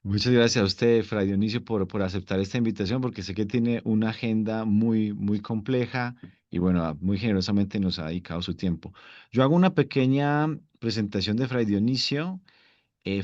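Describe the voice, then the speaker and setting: A man hosting a formal online interview, polite and courteous as he introduces his guest and poses questions. His voice sits fairly low, and the audio is dry and dull, like a lo-fi call recording. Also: moderate pace, a little mellow, no echo. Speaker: interviewer, host.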